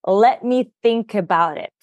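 A woman's voice: medium pitch, measured pace, no echo. Russian-native woman, coach on a podcast; earnest, chatty, medium voice in a dry, close sound. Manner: earnest, explanatory, lots of "you know" and "like". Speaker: podcast guest, leadership coach. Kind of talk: conversational podcast interview.

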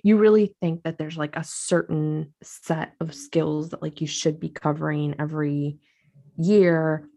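Chatty woman with a husky voice. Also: low-pitched, steady tone, measured pace, mellow. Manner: chatty, laughs easily, lots of fillers. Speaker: podcast co-host. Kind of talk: casual chat.

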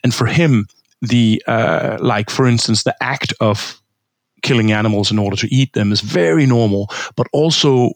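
A man's voice: medium pitch, dry recording. A man from Denmark, a historian of religion, giving a thoughtful interview answer with frequent hesitations and restarts. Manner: thoughtful, halting, many fillers and restarts.

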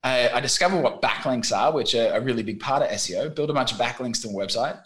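Animated Australian man telling stories. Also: medium pitch, fast talker. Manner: animated storyteller, acts out voices in anecdotes. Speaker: guest. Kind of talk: casual podcast interview.

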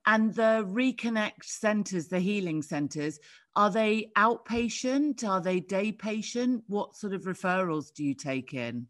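A host chats in a casual interview, encouraging the guest with constant backchannels and bright exclamations. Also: medium pitch, animated, a little slow. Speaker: podcast host.

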